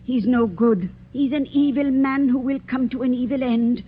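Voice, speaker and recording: A stern, scornful old aunt in an old radio drama, her voice high and scolding. The sound is thin and tinny like a phone line, with faint hiss. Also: moderate pace, husky. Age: older. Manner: scolding, imperious. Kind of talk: 1940s radio acting.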